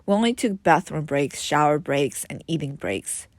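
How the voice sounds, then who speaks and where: A woman with a low, grounded voice narrates her own life story in English. She reads in an earnest, reflective way and now and then stumbles and restarts a word. The recording is close and dry, with no room sound. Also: expressive, moderate pace, no room sound. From Israel. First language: Hebrew. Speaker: memoir author reading her own book. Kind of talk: first-person memoir narration.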